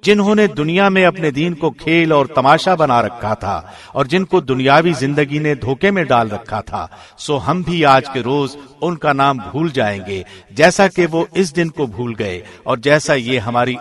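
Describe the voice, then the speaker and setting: A man reading a scripture translation aloud from a script in a formal, measured way, as a radio presenter would. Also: mid-range voice, lively intonation, fairly bright tone. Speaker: translation reader, radio host.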